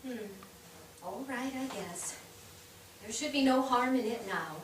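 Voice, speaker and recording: A woman's voice: fairly high pitch, a little slow, natural voice. A woman playing a proud, gracious elderly widow and matriarch in a staged play reading, speaking formally. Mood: reminiscing. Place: theatre stage, recorded from afar. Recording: distant noisy microphone in echoey theatre.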